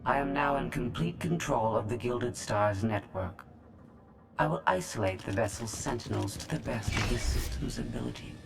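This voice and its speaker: A woman's voice: a bass voice, lively intonation, vocal fry, heavily acted. Cold, formal female droid, noisy background. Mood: detached. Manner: formal, measured, emotionless. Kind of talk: video game cutscene acting.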